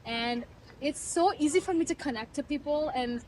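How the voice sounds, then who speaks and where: High-voiced young woman, earnest and reflective. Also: moderate pace, a little mellow, far from the mic, poor audio. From Bangladesh. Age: 20s. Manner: reflective, earnest, laughs easily. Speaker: client giving a testimonial. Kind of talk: casual interview.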